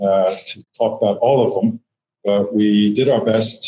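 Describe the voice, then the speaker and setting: A man introducing a conference session, quick and flat in delivery. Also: lowish voice, a little hiss or hum, poor audio. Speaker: keynote introducer. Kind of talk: event introduction.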